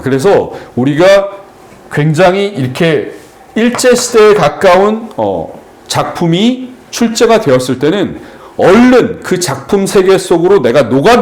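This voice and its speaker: A man's voice: high voice, a little mellow. A middle-aged man tutoring exam literature, lecturing at a seminar in an explanatory way with frequent fillers and restarts.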